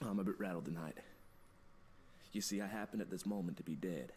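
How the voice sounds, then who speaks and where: A male actor plays a mysterious American visitor in a story game. His voice is fairly low, nervy and urgent but polite. Faint noise and ambience sit behind him. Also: brisk, darkish tone, close to an everyday voice. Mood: rattled, apologetic. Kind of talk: dramatic game voice acting.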